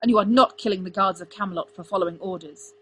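A woman's voice: mid-range voice, normal speed, a bit bright, husky. Casting: female reader as a headstrong young prince, angry and commanding, snapping his lines in a fantasy audiobook. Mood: frustrated, shouting. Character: proud, bitter young crown prince, stubborn and angry.

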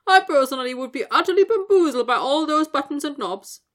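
A woman's voice: high-pitched, expressive, fairly quick, voice pushed up. A woman doing a tiny, gushing old wizard. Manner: bright, fussy and gushing. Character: very small excitable older wizard man.

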